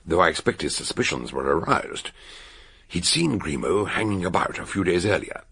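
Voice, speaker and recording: A man's voice: low voice, big pitch swings, fairly bright tone, slightly rough, exaggerated voice. An older British actor playing an erudite amateur detective in a radio drama, laying out his explanation with theatrical, emphatic relish. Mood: relishing, explanatory. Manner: dramatic, emphatic, deliberate storytelling. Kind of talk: radio drama acting, expository storytelling.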